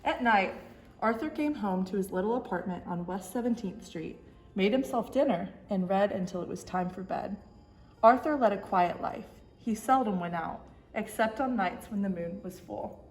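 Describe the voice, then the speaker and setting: A woman narrates a children's picture book with expression. Her pitch sits in the middle range, and the room around her voice echoes. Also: moderate pace. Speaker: story time reader. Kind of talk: expressive children's storybook reading.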